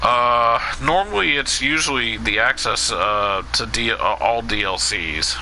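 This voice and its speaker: A man's voice: mid-range voice, unhurried, sharp tone, a little hiss or hum, poor audio. A man streaming a video game, chatting to viewers in a casual, rambling way and answering their questions with lots of fillers. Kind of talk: casual let's play commentary.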